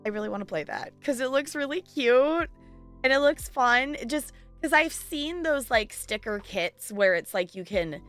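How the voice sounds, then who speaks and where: A woman's voice: high voice, lively intonation, normal speed, a bit bright, clear, resonant tone, soft background music. A woman chatting casually on a livestream in a playful, giggly, rambling way. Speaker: live game streamer chatting with viewers.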